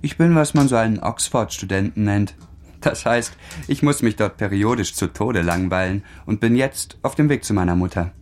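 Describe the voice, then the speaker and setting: Actor as a languid, arrogant young gentleman. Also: lowish voice, moderate pace, slight background noise, natural voice. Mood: breezy, amused. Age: young adult. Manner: languid, teasing, condescending. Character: bored, charming, arrogant young aristocratic Oxford student. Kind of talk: German radio drama acting.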